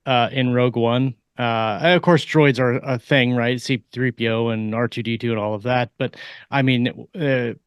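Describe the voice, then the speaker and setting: A man in a casual podcast discussion, halting and emphatic, repeating words as he explains his point. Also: mid-range voice, steady tone, smooth, dry recording, clean studio sound. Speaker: podcast panelist.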